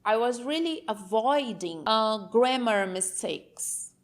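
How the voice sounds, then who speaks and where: A woman's voice: fairly high pitch, animated, takes it slowly. A woman from Brazil with a Brazilian Portuguese accent, whose first language is Portuguese, speaking unscripted to camera as the host of her own channel. She talks frankly, wanders a little, and keeps checking in with "okay?".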